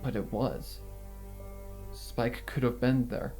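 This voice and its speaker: A man's voice: medium pitch, takes it slowly, mellow, close to an everyday voice. A man reading a horror fan fiction as a frightened, guilt-ridden female pony, in a shaky, dramatic manner. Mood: guilty, haunted. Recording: music under the reading.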